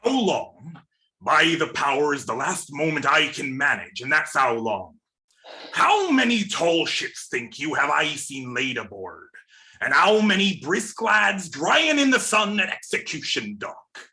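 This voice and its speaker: A man playing a wily old pirate sea cook in a radio play reading, with folksy, drawn-out sailor talk. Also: on the high side, sing-song, sharp tone, exaggerated voice. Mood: scheming, impatient. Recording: remote video-call reading.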